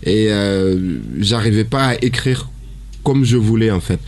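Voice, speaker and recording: A young man from Senegal, a musician guest in a radio studio, talking casually and haltingly with many fillers. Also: on the low side.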